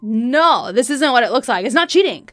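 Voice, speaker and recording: A woman's voice: a little high, lively intonation, moderate pace, dry recording, studio quality, soft background music, natural voice. A woman voicing a flustered, evasive cheating husband in a narrated story, stammering and pleading.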